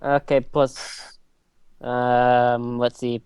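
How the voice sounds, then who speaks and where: A man's voice: medium pitch, level tone, drawn-out words, darkish tone, clean tone, dry recording, studio quality. A man chatting casually as a player in a tabletop game, thinking aloud with mild exclamations.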